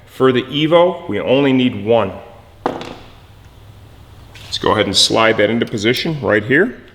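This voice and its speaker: A man presenting a hands-on mechanical how-to, instructive and often checking in with a quick "okay". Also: medium pitch, expressive, fairly bright tone, long reverb tail, slight background noise.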